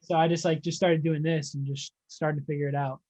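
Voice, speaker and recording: A young man interviewing in a casual, halting, informal way, peppering his talk with "like" and "dude". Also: a little high, level tone, darkish tone. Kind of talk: casual chat.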